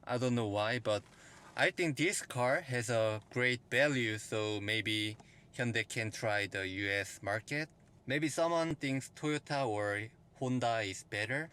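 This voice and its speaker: A man with a Korean accent, whose first language is Korean, gives a car review walkthrough in plain, halting phrases. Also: mid-range voice, pushed and bright, clean tone. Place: in and around a car.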